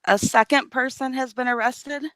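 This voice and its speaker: Tired hoarse woman on a call from her car, says um a lot, sleepless rasp. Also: high-pitched, steady tone, moderate pace, a bit bright, a little hiss or hum. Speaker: guest caller. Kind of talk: casual chat, giving updates.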